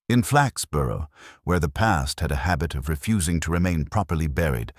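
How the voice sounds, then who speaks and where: A man reading scripted mystery fiction as an audiobook narrator, with a measured, literary delivery. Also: extremely low pitch, dry recording, clean studio sound.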